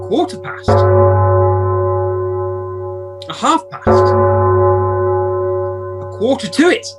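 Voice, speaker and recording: An actor playing a grumpy, flustered old male miser in a radio play, blustering and stammering. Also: mid-range voice.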